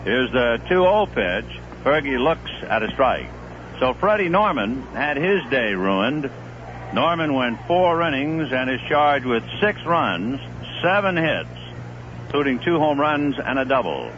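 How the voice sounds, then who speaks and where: Male baseball announcer, conversational and lively, old radio sound. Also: medium pitch, unhurried. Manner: lively, conversational, reeling off statistics. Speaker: play-by-play announcer. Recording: muffled 1970s radio broadcast with hiss.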